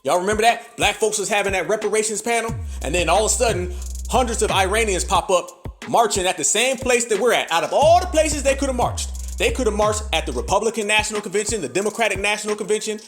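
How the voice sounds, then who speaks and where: A podcast co-host speaks to his audience in a fairly high voice. His delivery is emphatic and full of swearing, and he calls his listeners family. Faint music plays underneath. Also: expressive, fast talker, fairly bright tone. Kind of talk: commentary to listeners.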